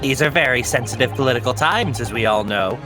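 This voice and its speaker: A man acts out a pompous video game prosecutor, smug and long-winded. His delivery is theatrical and swings widely in pitch, with music playing clearly behind it. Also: lively intonation, a little fast, close to an everyday voice. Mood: solemn pride. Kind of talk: live comedic fan dub of a video game.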